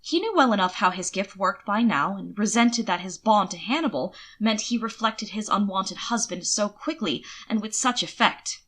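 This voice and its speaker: Woman reading fanfic like an audiobook, bright clear-cut voice, expressive. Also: medium pitch, moderate pace, hardly any pauses. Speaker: audiobook narrator of fan fiction. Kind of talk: expressive scripted narration.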